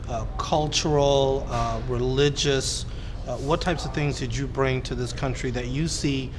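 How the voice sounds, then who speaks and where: A man moderates a roundtable, putting direct probing questions to each guest. His voice carries a long echo, with a clear noise under it. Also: mid-range voice, a little slow. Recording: echoey room with steady noise.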